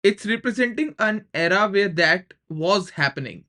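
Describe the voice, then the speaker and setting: High, bright-voiced young Indian man, casually opinionated. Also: animated, normal speed. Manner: opinionated, informal, switching between English and Hindi. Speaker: commentator. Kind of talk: casual reaction commentary.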